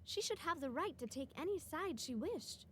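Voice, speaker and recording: A woman's voice: high voice, animated, moderate pace, slight background noise. An actress playing a poised, outspoken young woman who leads an organization in a video game. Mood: questioning, firm. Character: poised young female boss, warm-hearted and outspoken.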